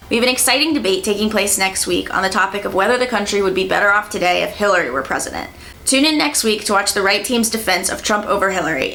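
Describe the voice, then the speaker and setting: Young woman host reading her script, bright crisp voice, faint hiss behind. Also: medium pitch. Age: young adult. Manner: upbeat, reads a prepared script. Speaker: show host. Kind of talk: scripted show introduction.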